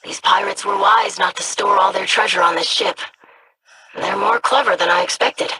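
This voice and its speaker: A woman's voice: mid-range voice, moderate pace, creaky, pushed and bright, far from the mic, slight background noise, poor audio. A woman playing a curious, earnest young alien scholar in a video game.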